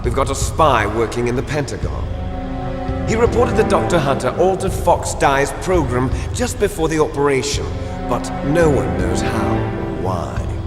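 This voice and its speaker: An actor playing a bitter, gloating villain twin with grand ambitions in a video game, theatrical and taunting. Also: male voice, medium pitch, big pitch swings, normal speed, lightly acted. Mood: smug, explaining. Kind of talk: dramatic video game voice acting. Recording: echoey hall reverb under loud music.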